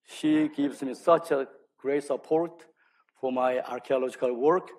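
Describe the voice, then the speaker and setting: A man from South Korea with a Korean accent, a native Korean speaker, giving a public academic lecture in halting, self-deprecating English. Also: mid-range voice, steady tone, darkish tone. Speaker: lecturer, archaeology scholar. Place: speaking live to an audience at an event.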